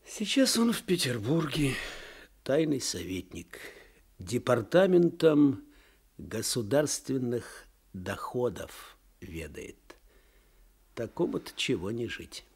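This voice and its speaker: Husky, sly old clerk. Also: male voice, medium pitch, very animated, takes it slowly, darkish tone, exaggerated voice. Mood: wry, ironic. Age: older. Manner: folksy, wry, chuckling colloquial speech. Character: weary sardonic older male provincial clerk, chatty, servile. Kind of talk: Soviet radio drama acting.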